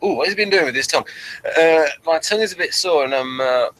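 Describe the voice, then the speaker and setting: A man hosting an informal review show, speaking in a halting, digressive way and often correcting himself. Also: a little high, expressive, a bit bright, a little hiss or hum, low-quality recording. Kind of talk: informal review chat, reading out a summary.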